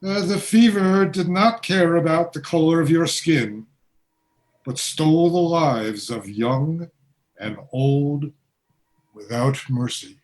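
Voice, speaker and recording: A man's voice: a little high, slow, darkish tone, poor audio. A man playing an earnest male ghost from the 1820s who speaks with formal, old-fashioned phrasing. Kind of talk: community radio play acting.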